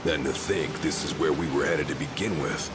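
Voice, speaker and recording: Gruff warrior companion, very deep bass, blunt, distrustful, game cutscene, loud music behind. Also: male voice, moderate pace. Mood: casual. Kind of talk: video game cutscene acting.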